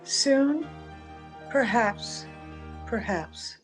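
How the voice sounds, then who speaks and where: A woman acts out a wistful, ageless young heroine of an old-time radio play. She is tender and earnest, with a fairly high, gentle voice. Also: animated, extremely slow, over loud music.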